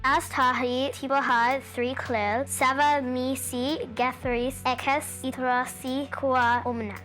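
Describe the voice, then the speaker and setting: A woman plays a little female dragon wormling in a fantasy tale. The voice is high and very flat in melody, with a slow, measured delivery. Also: soft background music. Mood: earnest, measured. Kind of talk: fantasy storytelling character voice.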